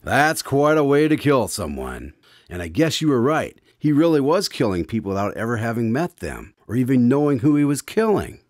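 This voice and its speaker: A man's voice: medium pitch, big pitch swings, moderate pace, no echo, studio quality. A man hosting a scripted radio drama in an old-style presenter's manner. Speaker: radio show host.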